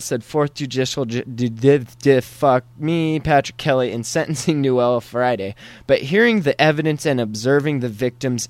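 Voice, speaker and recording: A man's voice: medium pitch, no room sound. Crude, irreverent male podcast host. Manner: crude, irreverent, laughing often. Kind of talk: crude comedic news riffing.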